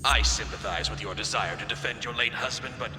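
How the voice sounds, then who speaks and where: A man's voice: mid-range voice, fairly quick, over music, lightly acted. A man voicing a smug, condescending, insinuating senator in a video game. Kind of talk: video game cutscene acting. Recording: echoey chamber with long reverb.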